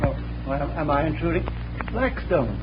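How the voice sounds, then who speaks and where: A man's voice: on the high side, animated, moderate pace, over music, lightly acted. A man playing a corny vaudeville ventriloquist with showman's patter in a 1940s radio drama. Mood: affable. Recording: muffled, noisy vintage radio recording.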